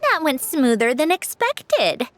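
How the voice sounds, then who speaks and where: Aloof, high-voiced young woman. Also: wildly swooping pitch, takes it slowly, soft background music, heavily acted. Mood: guarded. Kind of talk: video game voice acting.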